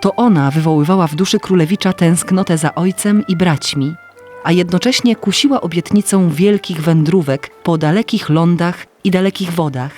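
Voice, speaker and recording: Male narrator, slightly high pitch, expressive fairy-tale storytelling, scripted, faint music underneath. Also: normal speed.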